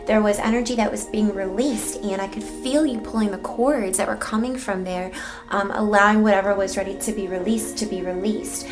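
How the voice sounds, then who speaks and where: Brief: effusive spiritual host, woman, high-pitched voice. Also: fairly even intonation, a little fast, music in the background. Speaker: show host interviewing a healer. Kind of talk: gushing spiritual testimonial.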